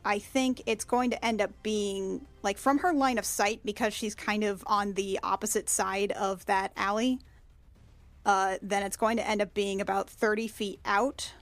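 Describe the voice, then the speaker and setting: A woman's voice: medium pitch, fairly even intonation, a little slow, no room sound, soft background music. A woman speaking informally and playfully as a player in a tabletop roleplaying game, describing what her character does. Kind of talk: casual in-game chat, describing character's actions.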